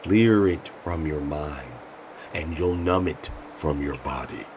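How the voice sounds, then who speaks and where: A man's voice: a bass voice, slow, noisy background. A man playing a tough, teasing survival trainer in an audio drama, blunt and instructive. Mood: stern, instructive. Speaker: combat mentor character. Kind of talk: acted audio drama dialogue.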